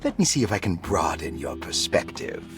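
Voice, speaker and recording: An actor playing an urbane, evasive asylum doctor in a video game, speaking in a suave, measured, condescending way. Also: male voice, deep voice, wildly swooping pitch, over music, exaggerated voice. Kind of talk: cinematic video game voice acting.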